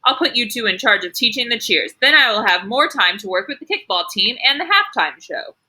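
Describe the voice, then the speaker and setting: Lady as mr teacher guy in a kids book, encouraging, measured, bright crisp voice. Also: on the high side, big pitch swings, heavily acted. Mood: pleased. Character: calm, encouraging male first-grade teacher. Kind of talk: children's storybook read-aloud.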